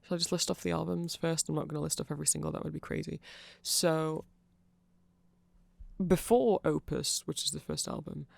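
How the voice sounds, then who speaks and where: A man's voice: a little high, steady tone, normal speed, creaky, no echo. A man giving a nerdy, rambling ASMR explanation, often correcting himself and checking in with the listener. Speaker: ASMR creator.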